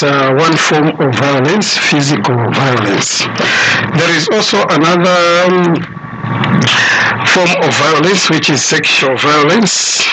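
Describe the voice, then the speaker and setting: A man with a Zambian accent speaking as an expert panelist, setting out his points in an explanatory way. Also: fairly high pitch. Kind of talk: explanatory talk listing points. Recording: noisy recording with background ambience.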